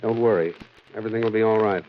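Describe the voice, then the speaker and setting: Guy acting big tough american detective from 40s radio, low voice, gruff n terse, muffled old recording. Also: fairly even intonation, quick pace, a little hiss or hum, close to an everyday voice. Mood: firm, reassuring. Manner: hard-boiled, terse, interrogating. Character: heavyset tough private detective, gruff, blunt and suspicious.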